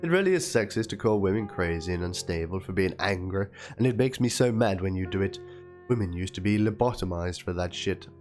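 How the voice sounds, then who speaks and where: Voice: low-pitched, lively intonation, brisk, darkish tone. Theatrical, aggrieved dramatic reader over music. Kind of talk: dramatic reading of messages and a document. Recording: music under the voice.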